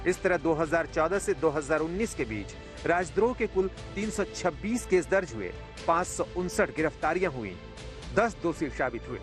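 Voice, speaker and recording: A man narrating a scripted television news report as a voice-over. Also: on the high side. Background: prominent background music.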